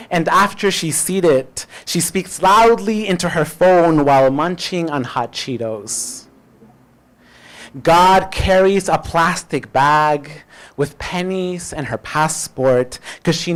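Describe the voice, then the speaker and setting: A man reciting spoken-word poetry as a poet, with deliberate, emphatic phrasing and dramatic pauses. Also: high-pitched, a bit bright.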